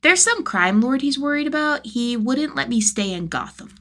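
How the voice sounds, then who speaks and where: Woman acting as young boy, audio fiction, starstruck, chattering, earnest, raised pitch. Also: lively intonation, bright, forceful tone. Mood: matter-of-fact. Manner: rambling, rushed, earnest. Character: excitable, chattering nine-year-old boy sidekick, starstruck.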